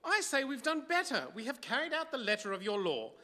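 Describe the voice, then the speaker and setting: A man's voice: extremely high pitch, big pitch swings, brisk, bright, forceful tone, heavily acted. A man playing a boastful, quarrelsome veteran demon, strident and oratorical in a rhymed radio play. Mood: indignant. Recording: reverberant hall, faint crowd.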